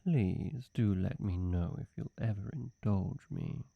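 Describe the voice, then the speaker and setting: A man's voice: fairly low pitch, creaky, slightly rough, dry recording, dull audio, heavily acted. A man playing a suave, flirty demon servant, obsessively devoted, speaking formally and silkily in a scripted roleplay monologue. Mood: flirty, adoring. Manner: unhurried, silky, fawning, formal.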